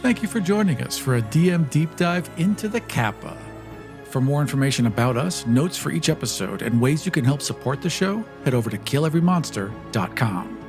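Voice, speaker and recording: A man's voice: a little high, expressive, a little fast, over music. A man hosting a podcast, casually narrating as a tabletop roleplaying player.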